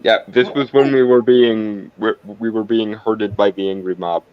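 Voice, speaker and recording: A man's voice: on the low side, fairly even intonation, moderate pace, clean tone, dull audio. A man playing a tabletop game, casually explaining and reasoning out the logic of what happens. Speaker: player.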